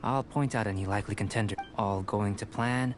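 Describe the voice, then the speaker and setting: An actor playing a calm, curious young male alchemist in a video game, speaking in measured, formal, precise phrases. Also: fairly low pitch, background noise, lightly acted. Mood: clinical, reassuring. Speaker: game character, alchemist researcher. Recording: game music under the voice.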